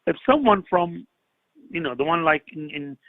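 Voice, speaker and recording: A man's voice: a little high, animated, moderate pace, no room sound. An older man from Iraq calling in to a phone-in show, speaking in an impassioned, rhetorical way and repeating phrases for emphasis. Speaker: caller. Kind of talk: phone-in call. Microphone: telephone. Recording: tinny phone line, dry.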